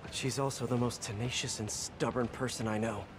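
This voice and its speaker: A man's voice: medium pitch, fairly even intonation, brisk, noisy background, close to an everyday voice. An actor playing an earnest young male superhero who often talks to himself with concern in a video game cutscene. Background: game score music.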